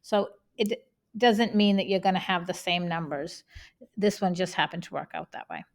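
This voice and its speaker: A woman's voice: lowish voice, normal speed, vocal fry. A woman with a Boston accent teaching a math lesson, explaining each step plainly and checking in with "okay?".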